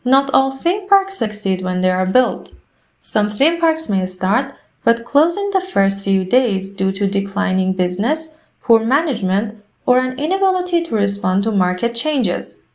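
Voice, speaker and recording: A woman's voice: on the high side, sing-song, measured pace. A woman reading scripted exam practice passages aloud.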